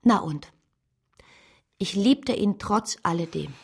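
An actress playing an earnest, lovestruck woman who narrates her own life in a measured, literary radio drama style. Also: mid-range voice, lively intonation, creaky, darkish tone, breathy edge, heavily acted. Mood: wistful, searching.

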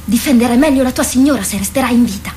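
An actress playing a boastful, protective older sister warrior in a radio drama, teasing and admonishing. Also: female voice, fairly high pitch, fast talker, mellow, a little hiss or hum, close to an everyday voice.

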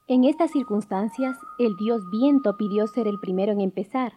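A woman's voice: medium pitch, normal speed, music in the background. A woman giving a scripted storytelling narration of a traditional legend as the narrator of a radio drama. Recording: faint background noise.

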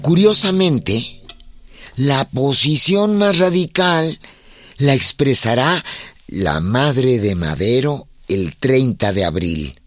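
Unhurried male narrator with swooping intonation. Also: mid-range voice, animated, heavy background noise. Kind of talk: scripted documentary drama narration. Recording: cello music behind the narration.

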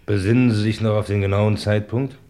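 Man as businesslike investigator in vintage radio mystery; lowish voice, curt, impatient questioning. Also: fairly even intonation, brisk, warm tone, close to an everyday voice. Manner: curt, probing. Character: impatient, businesslike male police interrogator. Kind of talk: vintage German radio crime drama acting.

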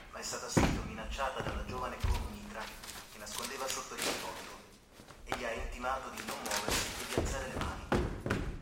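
A detached 1970s news reporter, played by an actor, reads formally. He is set far back in a very echoey space. Loud noise runs underneath. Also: medium pitch, lightly acted.

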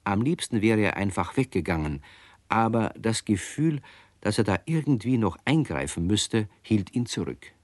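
Casting: male narrator for children's radio tales, lowish voice, lively inflection. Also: normal speed. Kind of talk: scripted children's story narration.

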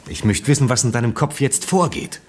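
An actor playing an exasperated, gifted engineer in a naturalistic radio play, blunt and insistent. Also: male voice, medium pitch, expressive, a little fast, natural voice. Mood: insistent, reproachful. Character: Helmut, exasperated gifted male engineering colleague.

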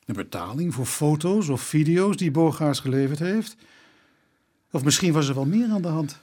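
A man playing a methodical police inspector in a radio drama, speaking in a measured, deliberate way. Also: a little high, animated, warm tone. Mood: pondering.

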